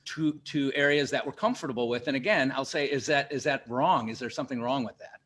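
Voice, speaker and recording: A man hosts an interview in a relaxed, conversational way, speaking at a middle pitch. He often stops and restarts his sentences and tells stories from his own working life. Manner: halting, many restarts and fillers, tells personal anecdotes. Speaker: interview host, leadership teacher.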